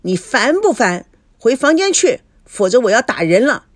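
Woman acting a shrewish, jealous mother, lively swooping pitch. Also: normal speed, no room sound, studio quality. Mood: furious scolding. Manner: scolding, coarse, sarcastic. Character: jealous, shrewish mother, foul-tempered and suspicious.

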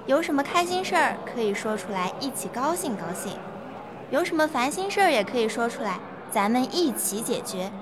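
A woman's voice: a little high, sing-song, a little fast, background noise. A woman playing an ingratiating, persuasive health-product saleswoman in a radio play. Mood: friendly, coaxing. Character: smooth-talking, pushy female health-product saleswoman pitching magnetic mattresses. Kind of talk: amateur legal-education radio play.

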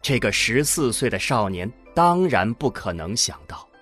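Husky, expressive male storyteller. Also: mid-range voice, normal speed. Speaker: narrator of an audio drama. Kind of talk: scripted science fiction narration. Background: music under the voice.

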